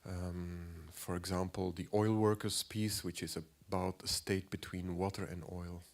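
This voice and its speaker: A man in his forties with a cold, giving a halting lecture as an artist, clearing his throat often and filling pauses. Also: low-pitched, flat delivery. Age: 40s. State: has a cold.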